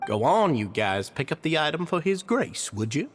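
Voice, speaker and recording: An actor playing a deferential, ingratiating society leader in an anime-style video game, with formal, effusive courtesy. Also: male voice, on the high side, sing-song, moderate pace, a little hiss or hum. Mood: obliging.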